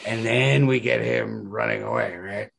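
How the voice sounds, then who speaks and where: Gravelly, husky low-voiced man talking casually. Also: very animated, unhurried, a bit bright. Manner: rambling, emphatic, peppers talk with "like". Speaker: podcast co-host. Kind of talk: casual analytical discussion of a story.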